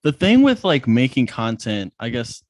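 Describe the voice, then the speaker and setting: A young man from Indiana hosts a casual podcast chat in a mid-pitched voice. He talks loosely and jokes at his own expense, often restarting mid-sentence. The sound is dry and close to the mic. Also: sing-song, normal speed, no echo. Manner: chatty, self-deprecating, many restarts. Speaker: podcast host.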